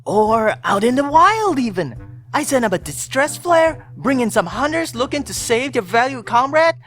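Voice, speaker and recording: A woman's voice: sits high, sing-song, moderate pace. A woman voicing a desperate, chummy hunter who wheedles and pleads in slangy talk, in a dramatized fan fiction reading. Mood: chummy bragging. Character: desperate, wheedling, fast-talking hunter begging a monster for mercy.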